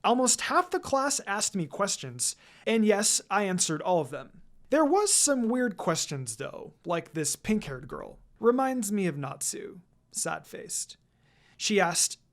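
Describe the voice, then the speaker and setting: A woman reading a first-person fan fiction story aloud in a casual, expressive, dramatized way. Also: mid-range voice.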